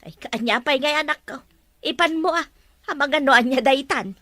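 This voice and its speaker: Woman playing concerned Ilocano mother, family drama, highish pitch, crisp forceful tone. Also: normal speed, dry recording, clean studio sound. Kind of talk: Filipino family drama acting.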